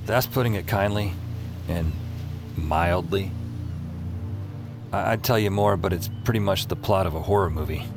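An actor playing a wry, haunted urban-fantasy hero who narrates and talks drily in an audio drama. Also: male voice, low voice, moderate pace, pauses often, over music. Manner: dry, sardonic.

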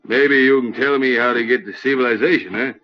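An actor playing a menacing outlaw gang leader in an old radio western, with gruff, bossy frontier slang and an American West accent. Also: male voice, mid-range voice, fairly quick, close to an everyday voice. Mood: feigned friendliness. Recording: dull, lo-fi old radio recording.

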